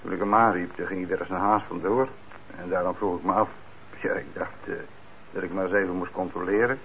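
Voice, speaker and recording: An actor in a radio play as a coolly official police inspector, measured, formal and probing. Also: male voice, deep voice, fairly even intonation, a bit bright. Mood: polite, official. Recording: dull, noisy old radio recording.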